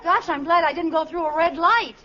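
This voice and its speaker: An actress playing a flighty, guilty but good-hearted housewife in 1940s radio comedy, with a coy, theatrical innocence. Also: female voice, very high voice, brisk, bright, forceful tone. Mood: breezy, puzzled. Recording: dull, noisy old radio recording.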